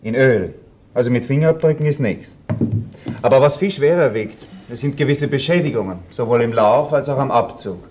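An actor playing a factual, thorough police assistant with an Austrian accent, giving a report in a crime radio play. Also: male voice, mid-range voice, fairly quick, a little mellow, a little hiss or hum, natural voice. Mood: businesslike. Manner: matter-of-fact, thorough. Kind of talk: German-language crime radio play acting.

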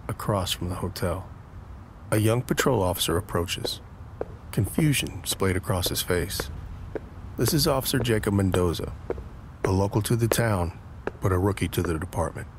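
A man's voice: sits low, normal speed, noisy background. A man narrating an audio drama from a script, describing scenes. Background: instrumental music.

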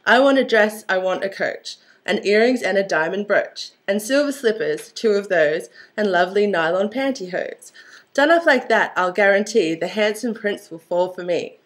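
A woman's voice: mid-range voice, expressive, moderate pace, lightly acted. Woman playing a bossy, fed-up fairy-tale young woman. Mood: demanding. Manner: demanding, emphatic.

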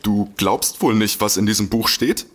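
An actor playing a gullible, eager amateur detective in a comic audio drama. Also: male voice, lowish voice, fairly even intonation, normal speed, fairly bright tone. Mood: excited.

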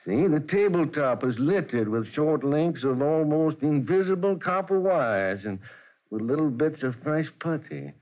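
A man's voice: fairly high pitch, lively intonation, a little slow. An actor playing a curious, scholarly professor in a 1940s radio drama, musing and hesitant as he inquires. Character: curious, scholarly male professor investigating a laboratory. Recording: dull 1940s radio recording.

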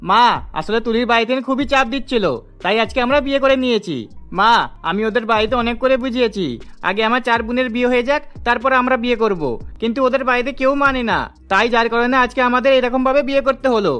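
A man's voice: very high voice, fast, fairly bright tone, clear, resonant tone, dull audio, faint music behind. A man voicing an earnest, insistent young newlywed son in a melodramatic cartoon. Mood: apologetic, explaining.

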